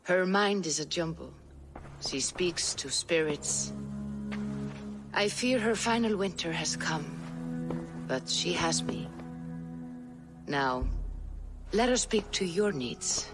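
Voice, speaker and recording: A solemn Viking seeress. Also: female voice, lowish voice, expressive, over music. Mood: calm. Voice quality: mystical, measured, chanting. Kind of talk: video game cutscene.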